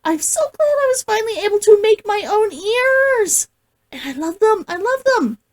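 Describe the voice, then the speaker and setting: A woman with an expressive way of talking, hosting a casual read-along as an author. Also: extremely high pitch, moderate pace. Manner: giggly, gushing, expressive. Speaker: host, author reading along. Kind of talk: casual livestream chat and novel read-aloud.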